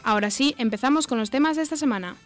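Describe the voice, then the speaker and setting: A woman's voice: high-pitched, racing. A woman with an accent from Spain presenting a scripted introduction as host of a radio program. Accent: Spanish from Spain. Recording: music bed under the voice. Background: guitar music.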